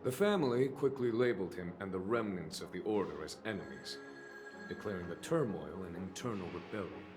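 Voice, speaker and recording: A deep-voiced, composed, courteous general. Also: male voice, lively intonation, music in the background. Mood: grave, explanatory. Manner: measured, eloquent, formal. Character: composed, courteous, diplomatic military general, genial and eloquent. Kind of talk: formal video game dialogue.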